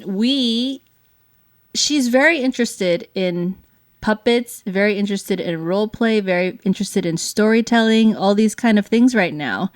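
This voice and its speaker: Upbeat woman hosting a chatty vlog. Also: mid-range voice. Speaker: show host. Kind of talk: chatty co-hosted family vlog.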